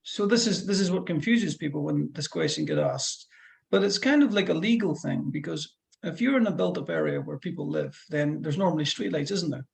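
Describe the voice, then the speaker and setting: A man's voice: on the high side, sing-song, a little fast, vocal fry. A middle-aged man with a Fife Scottish accent, a driving instructor explaining things in a chatty, rambling way with many restarts. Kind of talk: one-to-one lesson over a call.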